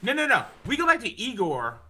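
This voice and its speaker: A man with a high, very sharp and forceful voice talks like a fed-up sports fan on a podcast. He rants casually, with plenty of cursing and exasperation. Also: wildly swooping pitch, brisk. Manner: ranting, heavy swearing, exasperated. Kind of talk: casual hockey fan chat.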